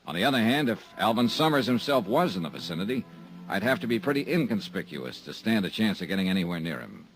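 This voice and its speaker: A man's voice: fairly low pitch, very animated, quick pace, soft background music, low-quality recording. A middle-aged man playing an insurance investigator, narrating a 1950s radio drama in a dry, wry, hard-boiled manner.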